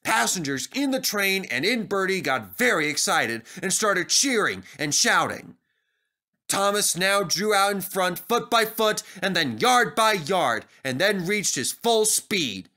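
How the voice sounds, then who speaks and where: A man narrating a scripted children's story as an animated storyteller. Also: high voice, a little slow.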